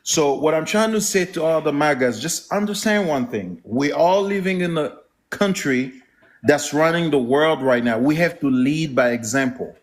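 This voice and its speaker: A man from the Congo whose first language is French, speaking with a Congolese French accent as a caller making his points in an earnest, measured, orderly way. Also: on the high side, animated. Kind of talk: earnest call-in opinion.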